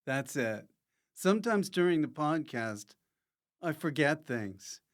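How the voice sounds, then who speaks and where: Guy hosting a silly podcast, clear smooth voice, slow and halting, keeps forgetting where he was. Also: a little high, sing-song. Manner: forgetful, halting, losing his thread; jokey, prone to loud mock announcements. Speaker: podcast host. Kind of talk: rambling comic podcast chat.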